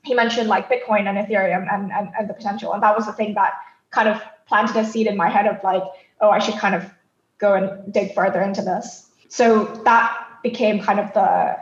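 A woman's voice: medium pitch, fairly even intonation, brisk. Muffled, echoey woman from Thailand, reflective. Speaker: podcast guest. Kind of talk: conversational interview answers.

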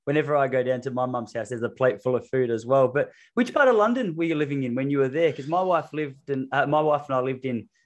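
A man's voice: mid-range voice, fast talker, hardly any pauses, lo-fi sound. Enthusiastic male podcast host. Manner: enthusiastic, chatty, many fillers. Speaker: podcast host and running coach. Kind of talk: casual podcast interview.

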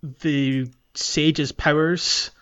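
A man's voice: on the high side, fairly even intonation, extremely slow, a bit bright, clear, resonant tone. A man co-hosting a podcast, chatting casually about games on a slightly lo-fi recording. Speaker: podcast host. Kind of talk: casual gaming podcast chat. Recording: slightly dull, lo-fi sound.

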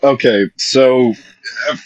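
A man's voice: medium pitch, slow pace, no room sound, poor audio. A man talking hesitantly with many fillers in casual podcast chat.

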